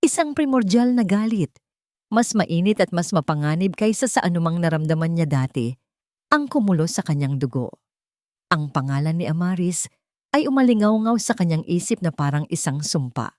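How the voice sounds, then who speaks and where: Female audio-story narrator, low register, dramatic scripted delivery, very dry recording. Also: expressive, moderate pace, darkish tone, dry recording.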